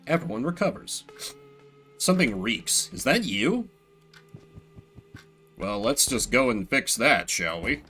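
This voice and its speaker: An animated man streaming a game playthrough with a bright, forward voice and music underneath. He reads the on-screen text aloud and comments on it in a playful, theatrical way. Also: medium pitch, slow, pauses often.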